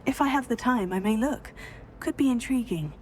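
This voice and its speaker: A woman's voice: fairly high pitch, animated, normal speed, slight background noise, close to an everyday voice. An actress playing a polite, self-assured teenage witch in a video game, speaking in a composed, understated manner. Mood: curious. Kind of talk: video game protagonist dialogue.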